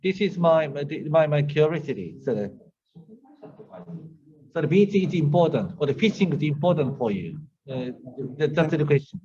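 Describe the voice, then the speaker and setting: A man asking the panel a question in a halting way, repeating words as he searches for them. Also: on the high side, sing-song, dark tone. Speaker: audience questioner. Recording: dull lo-fi sound.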